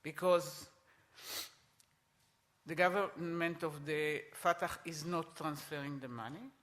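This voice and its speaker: A middle-aged man speaking on a conference panel, emphatic and repeating his points, with halting restarts. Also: on the high side, steady tone, a bit bright. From Israel.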